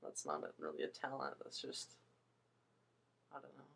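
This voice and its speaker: A young woman with a low voice, rambling hesitantly through a casual video monologue with many fillers and restarts. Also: very animated, moderate pace, creaky, a bit bright, slightly rough. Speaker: vlogger.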